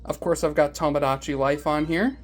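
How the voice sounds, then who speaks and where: Lower-pitched woman rambling like an enthusiast. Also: fairly quick, faint music behind. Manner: animated enthusiast, playfully grumbling. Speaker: hobbyist reviewer of weather radios. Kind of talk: casual rambling vlog review.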